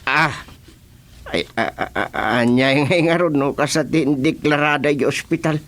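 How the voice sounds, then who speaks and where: A man's voice: on the high side, unhurried, a bit bright. An Ilocano village chairman. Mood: concerned. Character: male village chairman, reacting with drawn-out exclamations. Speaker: actor in a radio serial. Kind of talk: Filipino radio comedy-drama acting.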